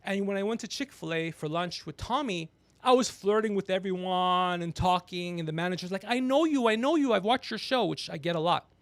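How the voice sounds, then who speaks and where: A gregarious man hosting a podcast, telling rambling comedic stories with animated, teasing asides. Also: sits high, brisk, no room sound. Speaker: podcast host. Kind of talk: rambling comedic storytelling on a livestream.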